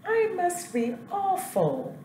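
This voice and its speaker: A woman's voice: very high-pitched, big pitch swings, slow, dark tone, pitched above the performer's own voice, full character voice. A woman voicing a shy, naive new school building in a children's storybook, with a childlike, unsure manner. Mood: ashamed. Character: shy, naive talking school building, male, newly built. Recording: roomy echo, faint background noise.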